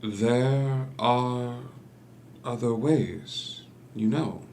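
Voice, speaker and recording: Actor as a slow, manipulative, coaxing doctor. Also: male voice, mid-range voice, darkish tone, slight background noise. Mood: evasive. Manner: deliberate, coaxing, hesitant pauses. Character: smooth, manipulative doctor and lover, coldly calculating. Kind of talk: theatrical period drama table read.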